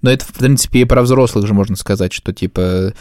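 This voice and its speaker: A man's voice: lowish voice, quick pace, darkish tone, no echo, clean studio sound. A man co-hosting a podcast, telling anecdotes in casual, slangy speech. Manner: informal, slangy. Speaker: podcast co-host.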